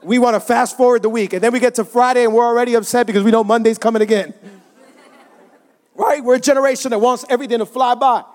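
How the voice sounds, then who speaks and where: A male pastor with a strikingly high voice delivers a sermon at a church service. He talks in an easy, conversational way and keeps prompting the congregation to answer him. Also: fairly even intonation, fast, darkish tone. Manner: conversational, asks the congregation for responses.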